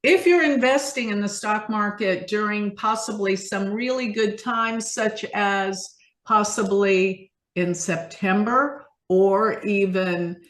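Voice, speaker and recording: A woman's voice: medium pitch, takes it slowly, fairly bright tone. Emphatic woman in an echoey room. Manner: emphatic, enthusiastic, asks and answers own questions. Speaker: guest astrologer and teacher. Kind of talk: explanatory astrology talk in an interview. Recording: roomy, echoing sound.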